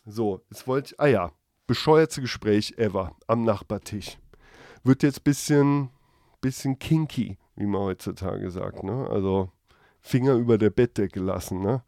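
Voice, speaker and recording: A man's voice: medium pitch, a little mellow, no echo, clean studio sound. A German man in his thirties hosting a podcast with a casual, comedic, rambling monologue full of slang and swearing. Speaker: podcast host.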